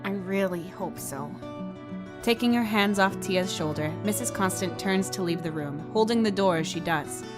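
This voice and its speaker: A woman's voice: medium pitch, moderate pace, few pauses. A woman reading scripted story narration as the narrator. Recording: music behind the voice. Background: music.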